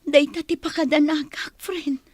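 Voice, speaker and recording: A woman's voice: sits high, fairly even intonation, normal speed, darkish tone, lightly acted. An actress playing a distressed young woman who pleads tearfully in a radio drama, with a Filipino accent. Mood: anxious. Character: distressed young woman pleading over her gambling-addicted fiancé. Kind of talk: Philippine radio drama acting.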